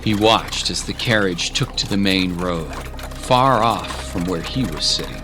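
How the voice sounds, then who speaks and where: A man reading scripted dramatic narration of a fantasy adventure in a measured, deliberate way with pauses for effect. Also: deep voice, lively intonation, music in the background. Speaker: storyteller, narrator.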